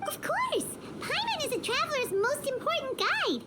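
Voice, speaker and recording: A woman's voice: very high-pitched, sing-song, normal speed, very noisy. A woman voicing a tiny, bold female fairy companion in a game, who speaks of herself in the third person. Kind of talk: video game cutscene dub. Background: game score music.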